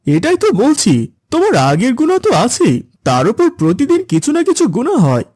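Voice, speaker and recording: A woman's voice: high-pitched, wildly swooping pitch, brisk, a little mellow, dry recording, studio quality. A woman playing a sly, persuasive friend in a cartoon, coaxing and insinuating. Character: sly, persuasive friend tempting someone back to sin.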